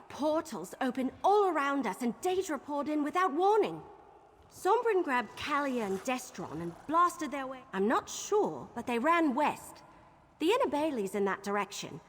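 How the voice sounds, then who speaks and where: An actress playing an eager, flustered young adventurer archer in a video game, speaking in an animated, exclamatory way. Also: female voice, high voice, long reverb tail, heavily acted. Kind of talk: video game character dialogue.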